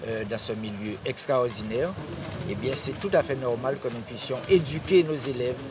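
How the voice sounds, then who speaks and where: A man giving halting, reflective interview answers, searching for his words. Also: mid-range voice. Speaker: water sports figure honoured at an inauguration. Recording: distant mic, noisy outdoor ambience.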